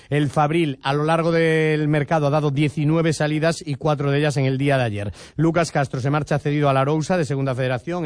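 A man presents sports news on the radio in a steady, informative way. His voice is mid-pitched and the studio sound is dry and close. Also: dry recording. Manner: brisk, informative, many fillers.

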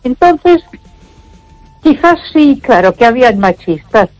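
A woman's voice: high voice, sing-song, slow, no echo, faint music behind, rough recording. An older woman from Barcelona calling a radio show, talking in an emphatic, repetitive way with frequent exclamations. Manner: emphatic, repeats herself, frequent ay interjections. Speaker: radio caller. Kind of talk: casual phone-in chat.

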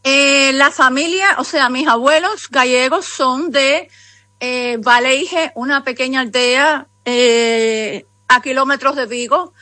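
A high-pitched woman in her fifties speaks with a Cuban accent, wandering through her memories with many asides. The sound is dry and close, with no room around her voice. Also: slow, no echo. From Cuba. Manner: rambling, warmly conversational, many asides. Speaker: guest author. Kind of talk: remote interview conversation.

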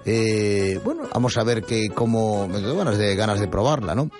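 A man's voice: on the low side, moderate pace, over music. A man hosting a radio show, chatting and reading news with many fillers and restarts. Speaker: radio host. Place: radio studio.

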